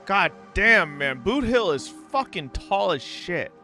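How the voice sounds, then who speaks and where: A man's voice: high voice, very animated, takes it slowly, sharp tone. A man livestreaming a game reaction, joking crudely and swearing as he chats casually with viewers. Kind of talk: casual chat with viewers, profane. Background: game music.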